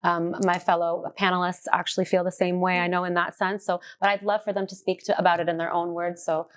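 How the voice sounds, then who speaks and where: A woman's voice: on the low side, flat delivery, fairly quick, smooth. Polite young woman panel host. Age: young adult. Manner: polite, encouraging, frequent fillers. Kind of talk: hosting a panel discussion. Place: studio.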